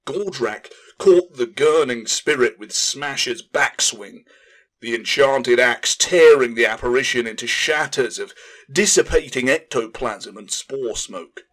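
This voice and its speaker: A man's voice: medium pitch, a little slow, fairly bright tone. Man narrating dramatic fantasy audiobook.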